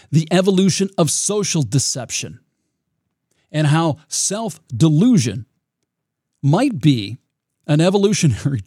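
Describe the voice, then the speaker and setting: A man with a raspy voice hosting his own podcast, talking in a reflective, rambling way with frequent restarts. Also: a little high, warm tone, no room sound, clean studio sound. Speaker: podcast host. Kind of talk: solo podcast monologue.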